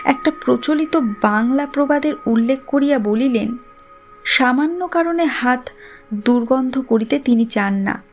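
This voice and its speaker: A woman's voice: high-pitched, unhurried, soft background music. A woman narrating a literary story a script.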